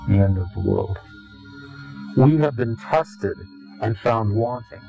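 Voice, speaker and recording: A man giving interview testimony in a solemn, halting and deliberate way, his voice sounding slightly unnatural, as if processed. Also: fairly low pitch, creaky, warm tone, dull audio, soft background music. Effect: voice changer removed, slightly unnatural sound. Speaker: anonymous interviewee.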